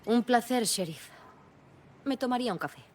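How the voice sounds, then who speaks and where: An actress playing a composed, sharp female FBI agent in a dubbed video game, speaking in a measured, businesslike, analytical way. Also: a little high, expressive, slight background noise, close to an everyday voice. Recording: music behind the voice.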